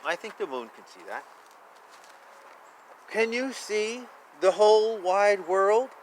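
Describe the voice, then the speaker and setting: A man's voice: high-pitched, expressive, slow, poor audio. A man reading a picture book aloud to young children, playful and chatty, with exclamations and asides. He stretches words for emphasis. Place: outdoors. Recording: outdoor ambient noise, faint. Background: faint outdoor ambience.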